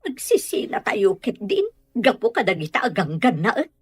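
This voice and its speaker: A woman playing a worried, cautious village mother with a husky voice, in a Filipino radio drama. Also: mid-range voice, huge pitch swings, normal speed, no room sound.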